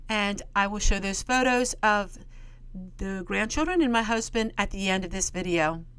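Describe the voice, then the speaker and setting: A woman's voice: a little high, fairly bright tone, a little hiss or hum. An older woman with an American accent, hosting a homesteading vlog and chatting to her viewers.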